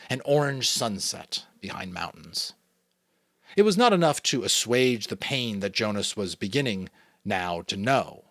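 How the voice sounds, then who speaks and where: A man with a New England American accent giving an expressive dramatic reading of a novel, with chatty asides to listeners. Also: medium pitch, dry recording. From New England, United States. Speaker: audiobook reader.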